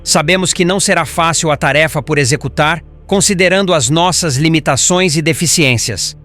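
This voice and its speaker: A man's voice: fairly high pitch, normal speed, fairly bright tone, close to an everyday voice. A man voicing a devout, humble spirit guide in an audiobook, praying in a solemn, formal manner. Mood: solemn, pleading. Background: background music.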